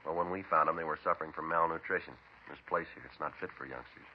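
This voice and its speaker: A terse police detective with a very deep voice and a fast, clipped, deadpan delivery. The sound is a muffled old radio broadcast with a faint hiss behind it. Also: male voice. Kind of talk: 1950s radio drama acting.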